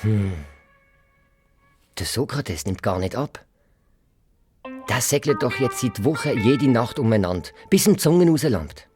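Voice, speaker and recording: A woman's voice: very deep voice, animated, measured pace, long pauses, a little mellow, soft background music. A woman playing a grumbling, reluctant young twin boy detective with a Swiss German accent in a children's audio drama. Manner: sulky, reluctant, muttering.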